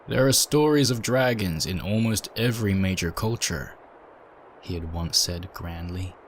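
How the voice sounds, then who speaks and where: A man reading a dark story aloud in a measured, dramatic narration. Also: low voice, slight background noise.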